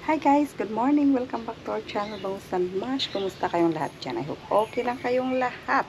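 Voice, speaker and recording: A woman vlogging casually to her viewers, hesitant with many pauses and fillers, recorded on a camera mic. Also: on the high side, expressive, background noise. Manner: hesitant, many ums and uhs. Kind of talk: casual vlog talk to camera. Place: outdoors at a rural farm house.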